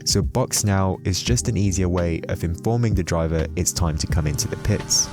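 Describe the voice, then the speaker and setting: A man's voice: low voice, normal speed, music in the background. A man giving a scripted explainer narration as the host of a video.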